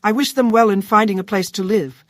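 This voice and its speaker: A woman voicing a proud, brusque dark elf military commander in a fantasy game, stern, formal and impatient. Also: medium pitch, steady tone, a little fast, dry recording, natural voice. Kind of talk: scripted fantasy game dialogue.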